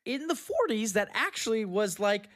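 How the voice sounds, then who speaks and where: Animated podcast host, very lively pitch. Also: normal speed. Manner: slips into put-on old-time slang voice. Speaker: podcast host running a word game. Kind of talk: casual playful chat.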